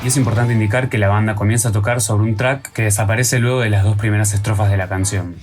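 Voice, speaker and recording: A man's voice: fairly low pitch, steady tone, very fast, over loud music. A man who is a native Spanish speaker, narrating a documentary from a script as its host.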